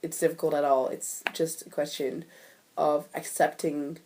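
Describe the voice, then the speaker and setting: A woman's voice: deep voice, level tone, normal speed, warm tone, a little hiss or hum. A young woman vlogging to camera in a rambling, self-deprecating way, her voice a little nasal from a cold. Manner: rambling, self-deprecating, many ums and restarts. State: recovering from a cold, slightly nasal. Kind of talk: casual chat to camera.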